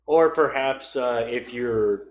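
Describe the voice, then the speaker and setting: A man's voice: medium pitch, slow pace. A man in casual conversation, explaining things in a somewhat hesitant way. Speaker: podcast participant discussing tabletop games. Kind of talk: casual chat. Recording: roomy echo with faint noise.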